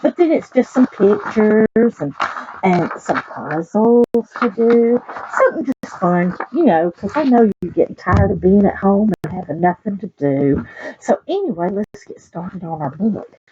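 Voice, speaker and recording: A woman with a Southern US accent reads a picture book to children with a very lively, swinging pitch. She takes her time and chats in folksy asides. The recording is a little muffled. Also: a little slow, noisy background. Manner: chatty, folksy, asking the listeners questions. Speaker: library storyteller.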